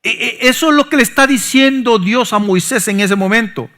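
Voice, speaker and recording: A middle-aged pastor, preaching a sermon that swings from forceful emphasis to soft, low asides. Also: male voice, moderate pace. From Honduras. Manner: preaching with sudden soft drops and rising emphasis.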